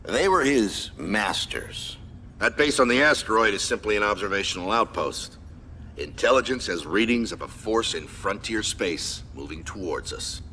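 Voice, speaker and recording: An actor playing a stern military commander in a video game, briefing troops in a clipped, formal, authoritative manner. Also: male voice, fairly low pitch, expressive, moderate pace, pushed and bright, a little hiss or hum. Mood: stern, grave.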